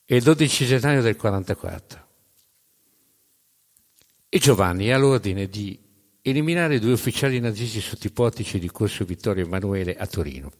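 A man narrates in a dramatic storytelling style. He breaks the tale into short, emphatic phrases with deliberate pauses. His voice rings with the long reverb of a hall. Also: lowish voice, animated. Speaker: narrator of a storytelling stage show.

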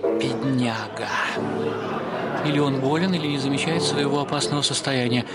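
A man's voice: mid-range voice, normal speed, over a noisy crowd, lightly acted. An actor playing an anxious, indignant Frenchman in a radio short story, muttering to himself in fretful astonishment. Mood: alarmed. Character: anxious, indignant Frenchman watching a glutton in horror. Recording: music behind the voice.

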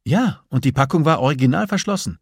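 Male reader as anxious female investigator in an audiobook thriller: raised pitch, husky, hesitant, agitated, searching for details. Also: expressive, fast, a little mellow, no room sound, clean studio sound. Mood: flustered. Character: anxious female police investigator, flustered under pressure. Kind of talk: audiobook dialogue acting.

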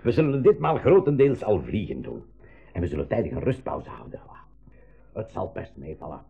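Genial older professor uncle, husky lowish voice, old radio play sound. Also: male voice, huge pitch swings, moderate pace, dark tone, full character voice. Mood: reassuring. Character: Uncle Januari, genial decisive older professor leading the journey. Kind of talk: 1980s school radio play acting. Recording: dull lo-fi radio recording.

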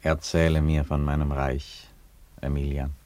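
Aging Roman emperor, extremely low voice, wry, measured, fatherly, radio drama. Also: flat delivery, mellow. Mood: calm, detached. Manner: measured, dry, sententious. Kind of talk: radio play acting.

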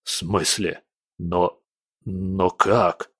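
A man voicing a calm, stern older general who speaks in a grave, measured way. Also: low voice, slightly rough, no room sound, clean studio sound, voice pushed down, exaggerated voice. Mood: stunned, hushed.

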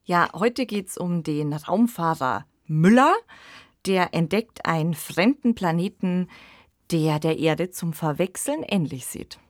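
A woman's voice: fairly low pitch, expressive, slow, no room sound, studio quality. A woman co-hosting a podcast, introducing what is to be heard. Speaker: podcast co-host.

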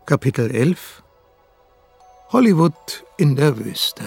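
A slow, deliberate announcer. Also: male voice, fairly high pitch, sing-song, vocal fry, a little mellow, husky, no echo, full character voice. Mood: measured. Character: male announcer reading chapter titles. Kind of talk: radio play announcing. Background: music.

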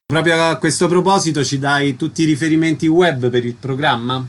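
A man's voice: a little high, fairly even intonation, a little fast. A man hosting a radio interview, giving questions and introductions with announcer-like phrasing.

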